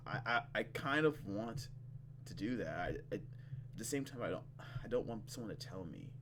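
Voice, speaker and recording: Casting: playful male let's-player, lively swings in pitch, narrates game text and reacts casually, over clear background noise. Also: moderate pace. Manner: playful, expressive, acts out reactions. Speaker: gaming channel host. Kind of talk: let's play, reading story text aloud with casual commentary.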